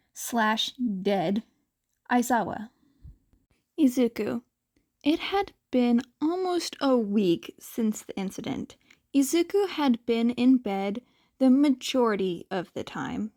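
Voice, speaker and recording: A woman's voice: a little high, darkish tone, dry recording, studio quality. A woman narrating fan fiction as a scripted story reading.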